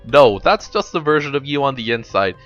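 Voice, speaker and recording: A man's voice: medium pitch, animated, a little fast, fairly bright tone, dull audio, faint music behind, close to an everyday voice. A man voicing a sardonic, blunt young woman who lectures knowingly in a dramatic storybook reading. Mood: pointed, probing. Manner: wry, lecturing, profane.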